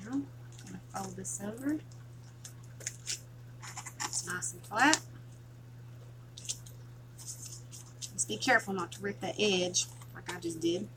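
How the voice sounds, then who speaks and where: A woman hosting a casual crafting livestream, chatting softly with viewers while she works. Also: mid-range voice, takes it slowly, pauses often, background noise. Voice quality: soft, relaxing voice.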